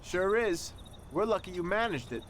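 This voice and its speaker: A man's voice: high voice, animated, moderate pace, a bit bright, a little hiss or hum. A man playing a chummy, admiring mob helper in a crime video game.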